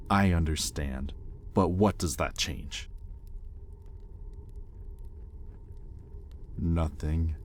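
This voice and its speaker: A man's voice: extremely low pitch, a little hiss or hum, full character voice. A man playing a bitter, conflicted demon in a roleplay audio drama, speaking to his captive with brooding intensity. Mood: resentful, resigned. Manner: brooding, intense, swinging between hushed confession and heated accusation. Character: bitter, conflicted male demon, possessive owner of a captive angel. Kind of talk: scripted roleplay audio acting, addressed to the listener.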